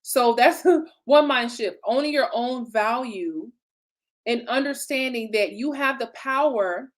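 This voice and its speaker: A woman's voice: fairly high pitch, slow. A woman from Illinois with a Chicago accent and a slight Southern twang, talking as a business coach in a chatty, motivational way, laughing often and checking in with "right?" and "okay?". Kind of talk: motivational solo talk.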